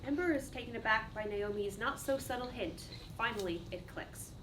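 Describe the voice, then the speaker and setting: Fairly high-voiced woman narrator, distant. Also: moderate pace. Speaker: narrator reading stage directions. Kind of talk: scripted narration. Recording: distant mic, clearly noisy room.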